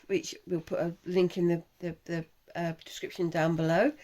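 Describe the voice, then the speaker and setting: A woman in her thirties with a croaky, failing voice, hosting a casual crafting vlog with her young daughter in a chatty, wry way. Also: fairly low pitch, darkish tone. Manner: chatty, self-mocking, wry. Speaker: channel host, mother.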